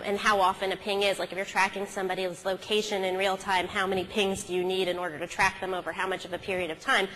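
A woman speaking as a keynote speaker at a conference, telling case stories conversationally with halting self-corrections, from a podium on an auditorium stage. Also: medium pitch, a bit bright. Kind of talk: conference talk, conversational storytelling. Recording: hall reverb from stage sound system.

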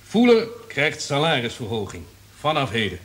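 An actor in a Dutch radio play playing a smug, calculating, cynical radio network executive. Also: male voice, mid-range voice, wildly swooping pitch, normal speed, fairly bright tone. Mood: cynical, pleased. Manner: self-satisfied, calculating.

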